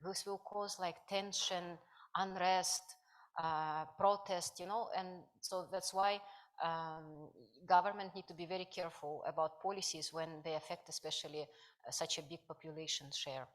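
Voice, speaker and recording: A woman's voice: on the low side, fairly even intonation, normal speed. A woman from Kazakhstan, presenting research at a conference, speaking hesitantly with many fillers. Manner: hesitant, many fillers, non-native grammar. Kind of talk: academic conference talk.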